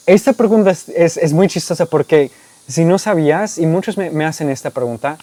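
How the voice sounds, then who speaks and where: A young American man from Texas, a native English speaker, speaking Spanish with an American accent. He answers viewer questions in a relaxed storytelling way, with restarts and soft laughs. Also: fairly high pitch, normal speed, no room sound. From United States (Texas). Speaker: language-learning YouTuber. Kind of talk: casual Q&A monologue to camera.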